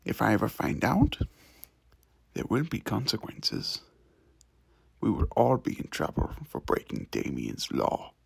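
A man puts on a stern, doting older demon father for an ASMR drama. He speaks slowly and with authority in a husky voice, recorded close and dry. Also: fairly low pitch, huge pitch swings, no echo, voice pushed down, full character voice. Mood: grave, fatherly. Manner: slow, deliberate, authoritative. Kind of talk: ASMR audio roleplay acting.

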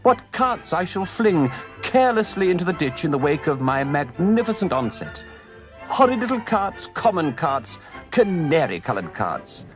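A man voicing a boastful male toad gentleman who gushes in a dreamy, grandiose way, as part of a storybook reading. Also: on the high side, very animated, slow pace, over music, voice pushed up, exaggerated voice. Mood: rapturous.

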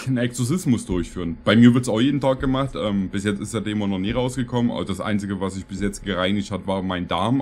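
A man's voice: on the low side, a little mellow. A man in his thirties giving casual, tongue-in-cheek reaction commentary as a streamer, rambling as he jokes. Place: indoor streaming room.

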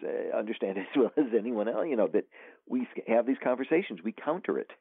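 A male author as interview guest, talking in a rambling, animated way with many restarts over a phone line. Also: mid-range voice, fast, breathy edge, no echo. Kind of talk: casual interview conversation. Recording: tinny phone line, dry.

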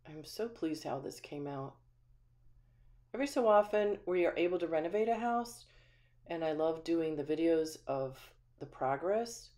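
A woman with a low voice gives a friendly home tour, talking casually and often pausing with ums. She walks through a small empty house, the camera held in hand. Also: animated, warm tone. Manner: friendly, chatty, frequent ums. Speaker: manufactured home community manager. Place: empty small house, indoors, then voice-over.

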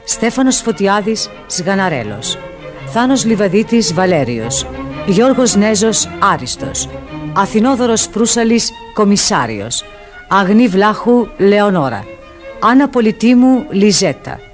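High-pitched formal male radio announcer. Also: big pitch swings. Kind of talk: scripted credit reading. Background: loud music under the voice.

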